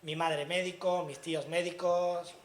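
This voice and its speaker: Andalusian spanish guy, fairly high voice, chatty talk to a room of students, echoey hall, faint hum behind. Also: level tone, a little slow. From Granada, Spain. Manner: chatty, asks the audience questions, frequent tag questions. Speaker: invited speaker. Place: university lecture hall.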